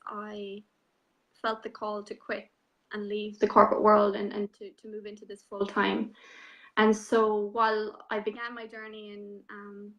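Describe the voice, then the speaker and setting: A woman in her thirties with an Irish accent, telling her story as an interview guest in a soft, unhurried way with many ums. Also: mid-range voice, creaky, rough recording. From Ireland. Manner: soft-spoken, unhurried, frequent ums and you-knows. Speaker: guest, transformational coach. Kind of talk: reflective personal story in an online interview.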